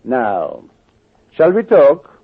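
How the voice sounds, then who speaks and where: An actor playing an urbane, calmly menacing crime boss in a vintage radio drama, mockingly polite and measured. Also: male voice, mid-range voice, loud music behind the voice, full character voice. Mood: smoothly menacing. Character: suave, sinister male crook boss, calmly menacing. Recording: tinny, noisy old radio recording.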